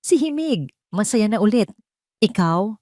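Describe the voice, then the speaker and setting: A dignified young Filipino woman who runs a cafe, voiced by a woman narrator, speaks in an audio drama. She is soft and reserved. The sound is dry and intimate. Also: mid-range voice, sing-song, a little slow, dry recording, pitched above the performer's own voice. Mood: gentle, reserved.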